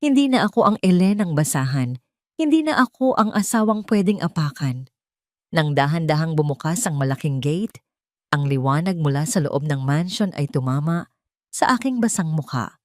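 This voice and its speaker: Filipina lady reading a dramatic story, kinda low voice, solemn and deliberate, really dry sound. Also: animated, no echo. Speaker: narrator. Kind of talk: dramatic scripted first-person story narration.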